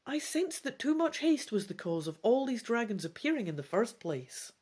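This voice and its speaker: A woman's voice: a little high, very animated, normal speed, a little mellow, breathy edge, pitched above the performer's own voice. A woman voicing an earnest, commanding sorceress in a dramatic gamebook reading. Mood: urgent, grave.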